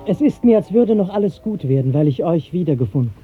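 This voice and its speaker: Casting: earnest young romantic poet, higher male voice, vintage radio drama on a tinny recording. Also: animated, fast talker, soft background music. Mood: tender, hopeful. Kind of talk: 1950s German radio drama acting. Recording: tinny, band-limited 1950s radio recording.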